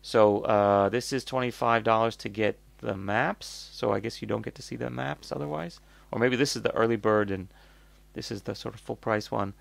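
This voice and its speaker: A man's voice: lowish voice, fairly even intonation, normal speed, creaky, no room sound. A North American man hosting a casual, off-the-cuff product review, rambling and blunt as he thinks aloud.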